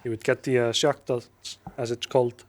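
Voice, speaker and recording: A man's voice: medium pitch, level tone, moderate pace. A man co-commentating a live racing video game match in a casual, hesitant way. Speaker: esports co-commentator.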